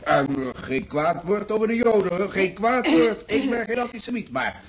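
Radio play role: smug, self-justifying bookkeeper, rather high-pitched, very varied intonation. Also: male voice, sing-song, moderate pace, slight background noise. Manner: pompous, self-justifying, repetitive. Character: smug, chatty male bookkeeper, a hospital patient. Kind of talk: Dutch radio drama acting.

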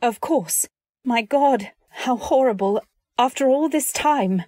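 A woman's voice: high voice, takes it slowly, warm tone, dry recording. A woman playing an anxious, devoted wife who narrates her story in dramatic old-time radio style. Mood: horrified. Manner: dramatic, earnest delivery. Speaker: narrator and actor.

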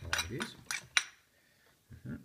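A man's voice: very slow, far from the mic, heavy background noise. A young man, hosting a homemade cooking show in a small kitchen with loose, joking chatter. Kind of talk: playful mock cooking-show talk. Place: small home kitchen, indoors. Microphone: camera a few feet away. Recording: roomy, off-mic kitchen sound.

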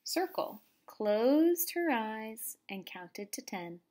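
A woman reading a children's storybook aloud expressively, with deliberate, dramatic phrasing. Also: a little high, very animated, mellow. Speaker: storybook reader, teacher. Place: room at home.

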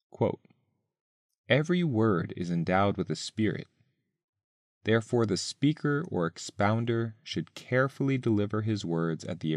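Low-voiced man reading, very dry sound. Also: no room sound. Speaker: article author and reader. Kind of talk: scripted narration, reading an essay aloud.

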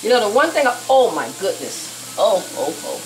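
A woman's voice: mid-range voice, very animated, moderate pace, background noise. A middle-aged woman demonstrating a recipe in her home kitchen, chatting in a homey way as she cooks. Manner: chatty, homey, talks while stirring and moving about. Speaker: cooking show host. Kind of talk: casual cooking demonstration. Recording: home video with jazz and sizzling behind. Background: jazz playing, food sizzling in the pan.

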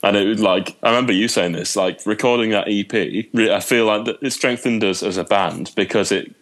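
A young man with an English accent and a very low voice, chatting casually as a podcast guest from his bedroom, rambling with lots of fillers. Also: a little fast, fairly bright tone. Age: young adult. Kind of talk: casual chat.

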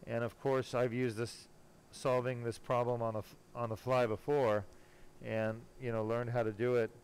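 A man working as a spine surgeon, giving casual, self-assured live commentary while he operates, pausing now and then mid-task. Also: medium pitch, little pitch movement. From born in Germany, later lived in California. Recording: clearly noisy operating room sound. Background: room noise and ambience.

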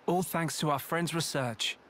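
A man's voice: a little high, unhurried, a bit bright, slight background noise. An actor playing an earnest, thoughtful young male hero in a video game.

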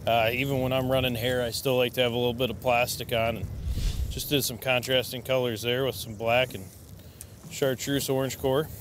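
A man who guides fishing, bantering in a relaxed way and laughing easily from a boat on a lake. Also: mid-range voice, fairly quick, background noise. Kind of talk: casual banter while fishing.

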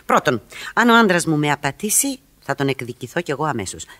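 Coquettish wife, animated intonation. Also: female voice, low-pitched, exaggerated voice. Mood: playful, scheming. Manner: theatrical, coy, teasing. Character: coquettish, quick-witted married woman, playful and self-assured. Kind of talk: Greek radio theatre acting.

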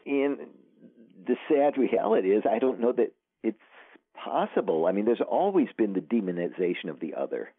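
A man, an author being interviewed, talking in a halting, somber way with many restarts. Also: fairly low pitch, sing-song, dry recording. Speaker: author guest. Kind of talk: casual interview talk. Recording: tinny phone line.

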